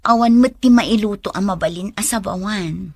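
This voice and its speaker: A woman's voice: medium pitch, normal speed, no echo, studio quality, natural voice. A woman acting a meek, apologetic young woman in a radio drama, hesitant and pleading.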